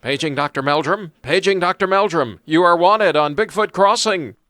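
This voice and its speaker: A man's voice: high-pitched, moderate pace, fairly bright tone, dry recording. A man playing an announcer making a formal page over a public address. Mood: formal, summoning.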